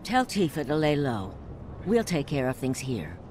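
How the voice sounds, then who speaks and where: A woman playing a brisk, no-nonsense older woman giving brusque orders in a video game. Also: deep voice, big pitch swings, a little mellow, slight background noise. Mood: brisk, unflustered. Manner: brusque, bossy.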